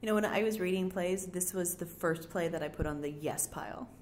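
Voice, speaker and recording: A woman's voice: on the low side, a little fast, darkish tone. A woman co-hosting a podcast, presenting and chatting casually. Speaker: podcast co-host. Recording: echoey room, faint noise.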